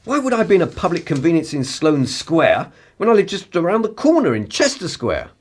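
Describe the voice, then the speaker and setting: An actor playing a pompous, articulate upper-class political radical in a radio play, with a husky voice. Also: male voice, on the high side, wildly swooping pitch, fairly quick. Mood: indignant. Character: indignant, haughty upper-class male political radical and spy.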